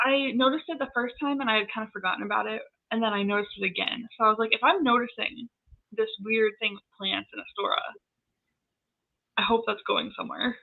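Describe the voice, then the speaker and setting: A woman's voice: fairly high pitch, fairly even intonation, brisk, gravelly, narrow, tinny audio. A woman co-hosting a casual fan chat about fantasy novels, heard through thin call audio. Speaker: co-host of a book discussion.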